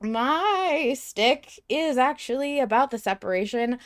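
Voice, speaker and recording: A woman's voice: high voice, lively intonation, unhurried, no echo, studio quality. A woman co-hosting a podcast, chatting casually in an emphatic, opinionated, sweary way. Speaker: podcast co-host. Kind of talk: casual fan discussion chat.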